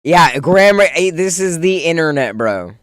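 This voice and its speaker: A man's voice: fairly high pitch, lively intonation, unhurried, a bit bright, no echo, studio quality. A male streamer chatting casually while building in a game, muttering to himself and calling his viewers "bro".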